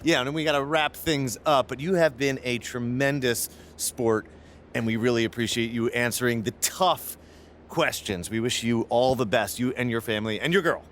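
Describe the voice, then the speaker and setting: A man's voice: medium pitch, moderate pace, fairly bright tone, lightly acted. An actor playing a courteous male sports show host in a video game, with polite, practised presenter patter. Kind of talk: video game cutscene, broadcast hosting. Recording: clear background noise.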